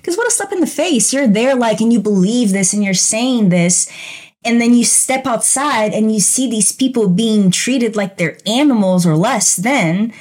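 A woman from Brazil co-hosting a podcast, talking in a reflective, animated way with frequent fillers. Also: on the high side, husky. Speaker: podcast co-host. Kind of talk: casual conversational podcast chat.

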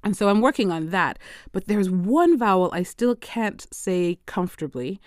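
A woman hosting a solo language-learning podcast, chatty and quick to laugh, slipping Portuguese phrases into her talk. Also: medium pitch, expressive, no room sound, studio quality. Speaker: podcast host.

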